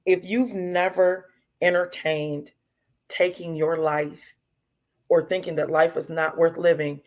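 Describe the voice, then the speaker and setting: A woman's voice: low-pitched, steady tone, slow pace. A woman in her fifties, talking casually as a guest and repeating herself for emphasis. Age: 50s. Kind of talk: casual chat.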